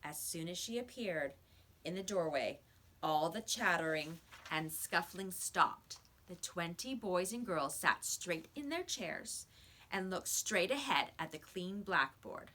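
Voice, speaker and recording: Animated, bright-voiced woman reading a story. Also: fairly low pitch, takes it slowly. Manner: animated, emphatic, dramatic storytelling. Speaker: teacher reading aloud. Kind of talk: expressive children's story read-aloud.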